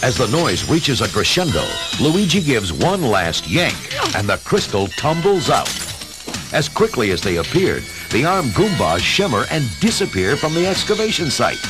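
A man narrating an adventure story in a measured, dramatic scripted style. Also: medium pitch, big pitch swings. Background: dramatic music.

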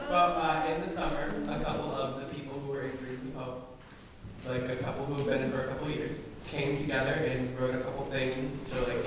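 A young man on a youth theater panel, talking casually with deadpan jokes. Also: medium pitch. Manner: joking, deadpan asides. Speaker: cast member in a youth theater Q&A. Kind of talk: casual panel talk on stage. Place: small theater stage, talking to an audience. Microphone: far room mic. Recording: echoey hall from far off with loud room noise.

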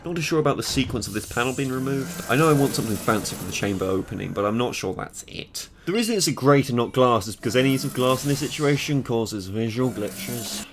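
Quick, wry British teen guy. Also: medium pitch, lively intonation, a little hiss or hum. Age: late teens. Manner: sarcastic, quick and self-mocking. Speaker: game mod creator. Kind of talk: casual devlog narration. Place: small bedroom with purple light.

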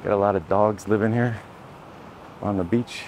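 A man's voice: lowish voice, normal speed, slight background noise, low-quality recording. Rambling man vlogging on a beach walk. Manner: rambling, relaxed, self-correcting. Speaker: travel vlogger. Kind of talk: casual walking vlog. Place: outdoors by a beach and dirt roads. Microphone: handheld camera, filming first-person.

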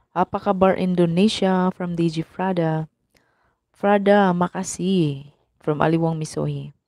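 A young woman with a Filipino accent, hoarse from a cough, greeting viewers one by one on a live stream through a mic held at her mouth. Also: on the low side, a little mellow, dry recording. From Philippines. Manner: slow, greeting viewers by name. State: husky from a cough. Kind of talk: live stream chat reading viewer comments. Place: small room indoors. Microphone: clip-on mic held at the mouth.

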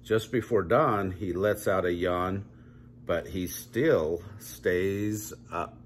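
An older man reading a children's story aloud at home in measured, drawn-out storybook phrasing. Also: low voice, slight background noise. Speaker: grandpa reading a picture book aloud. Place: small home room, indoors.

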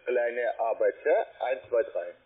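A man with a foreign accent, not a native speaker, working as a call centre agent and giving halting, insistent instructions. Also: medium pitch. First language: not German. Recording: tinny, band-limited phone line.

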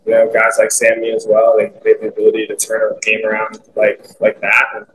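Young man with a flat, even voice. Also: on the low side, fairly quick, background noise. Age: young adult. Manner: upbeat, filler-heavy, candid. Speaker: soccer player. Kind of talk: post-match press conference answers. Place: team media room, indoors.

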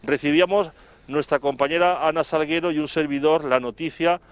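Male TV reporter, middle-aged, Spain accent, smooth clear voice, halting and wordy live delivery. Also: on the high side, fairly even intonation, no echo. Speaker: television reporter. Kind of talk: live report, spoken recollection. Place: outdoors in front of an office building. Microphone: handheld microphone, through a face mask.

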